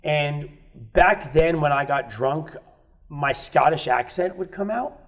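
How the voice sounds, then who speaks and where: A man's voice: fairly high pitch, lively intonation, takes it slowly, fairly bright tone. A man on a podcast telling candid stories about his past, swearing freely and acting out other people's lines. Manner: frank, swears freely, acts out quoted voices. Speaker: guest musician. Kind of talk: candid storytelling in casual chat.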